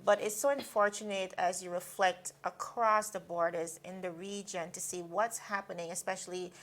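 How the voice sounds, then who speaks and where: A woman's voice: fairly low pitch, fairly even intonation, moderate pace, fairly bright tone. A woman from Guyana anchoring a daily news roundup, reading stories and then discussing them earnestly with a co-host. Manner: earnest, with frequent fillers.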